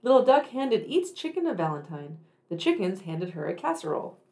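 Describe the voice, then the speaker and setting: Woman reading a picture book, very lively intonation. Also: medium pitch, brisk. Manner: addresses young children, emphatic dramatic phrasing. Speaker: read-aloud storyteller for children.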